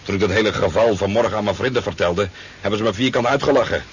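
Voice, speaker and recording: A man's voice: fairly low pitch, quick pace, slightly rough. An actor playing a talkative, easygoing sport fisherman in a 1970s radio drama, telling his tale in a hesitant, rambling way. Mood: earnest. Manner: hesitant, rambling storytelling with stammers. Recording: dull, noisy 1970s radio recording.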